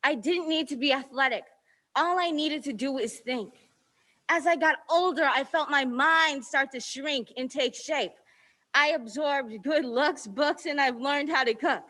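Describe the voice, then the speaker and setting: A woman's voice: high-pitched, measured pace, sharp tone. A seventeen-year-old girl performing her own spoken word poem with a rhythmic, rhyming delivery. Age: teens. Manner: rhythmic rhyming recitation, defiant at times. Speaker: student poet.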